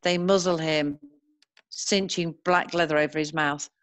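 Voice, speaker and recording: A woman narrating a screenplay, reading scene headings and stage directions in a measured way. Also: deep voice, fairly even intonation, no room sound.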